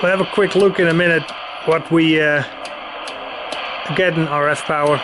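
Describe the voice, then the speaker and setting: Male demonstrator, high-pitched voice, casual and matter-of-fact explainer, with clear radio hiss behind him. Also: steady tone, normal speed. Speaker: presenter demonstrating vintage radio gear. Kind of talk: casual hands-on product demonstration. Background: CB radio noise and chatter.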